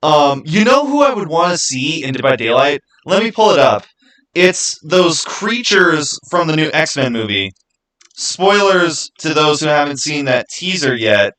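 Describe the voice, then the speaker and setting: A man's voice: a little high, very animated, measured pace, a bit bright, rough recording. A man hosting a livestream, chatting casually and answering viewers in a rambling, conversational way. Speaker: livestream host.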